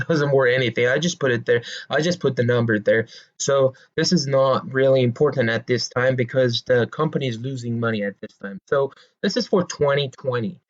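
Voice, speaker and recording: A man with a Persian accent explains a stock's fundamentals in English, his second language, at a medium pitch. He talks casually and hesitantly, filling gaps with uh and you know and restarting phrases. The recording sounds dull and lo-fi. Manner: hesitant, many fillers and restarts. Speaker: investing video host. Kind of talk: casual stock analysis talk.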